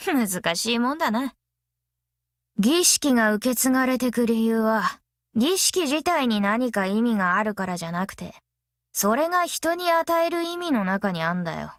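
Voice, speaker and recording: A woman voicing a brash, easygoing, long-lived female master metalsmith in an anime game, with rough, cocky, tomboyish speech. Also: fairly high pitch, dry recording, clean studio sound. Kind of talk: anime mobile game character voice lines.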